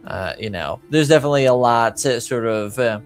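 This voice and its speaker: A man narrating a tabletop roleplaying game as game master, speaking casually and haltingly as he improvises. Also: medium pitch, animated, clean tone, soft background music. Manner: halting, hesitant, casual phrasing.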